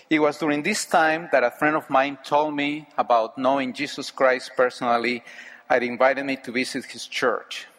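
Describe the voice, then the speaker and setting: A man's voice: mid-range voice, fairly bright tone. Earnest man with a Mexican Spanish accent. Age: middle-aged. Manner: earnest, measured delivery. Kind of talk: personal testimony speech. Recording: hall with long reverb.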